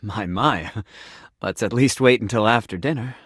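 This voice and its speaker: A man's voice: lowish voice, moderate pace, a bit bright, husky, no echo, clean studio sound. A man voicing a pompous, melodramatic talking sink in a video game, with the formal diction of a self-important claims adjuster. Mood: suave, flirtatious. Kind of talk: video game character acting.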